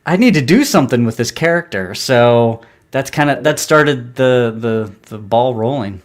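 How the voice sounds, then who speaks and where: A man's voice: animated, normal speed. A man, a comic writer and artist, chatting as a guest on a live stream, storytelling in a loose, rambling way full of fillers and restarts. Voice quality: describes his own voice as high. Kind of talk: casual live-stream interview.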